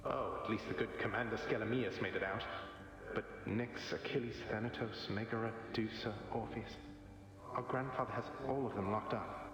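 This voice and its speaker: A man's voice: fairly low pitch, steady tone, moderate pace, lightly acted. A man playing a cocky young underworld prince in a video game, with a dry, sardonic, casually eloquent delivery. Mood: worried. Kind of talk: video game drama acting. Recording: echoey hall with long reverb.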